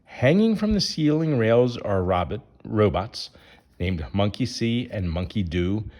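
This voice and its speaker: A man's voice: lowish voice, big pitch swings, unhurried, darkish tone. A man reading a rhyming children's picture book aloud in a sing-song way, putting questions to young listeners.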